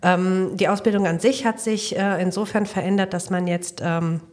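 A woman from Italy answers interview questions as a nursing teacher. Her voice sits a little low, and she explains things step by step in a detailed, patient way. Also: fairly even intonation, moderate pace, darkish tone.

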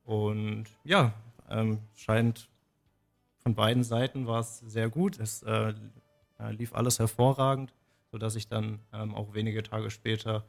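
Young male guest, lowish voice, hesitant and measured, standard German. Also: flat delivery, creaky, faint music behind. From southwest Germany. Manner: measured, hesitant, many fillers. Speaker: trainee, radio guest. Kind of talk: radio interview answers.

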